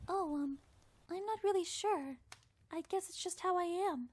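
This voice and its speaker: A woman voicing a timid, gentle young female pony in a cartoon, speaking hesitantly and apologetically. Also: extremely high pitch, normal speed. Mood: apologetic. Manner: hesitant, apologetic, softly earnest. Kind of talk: animated fan drama acting.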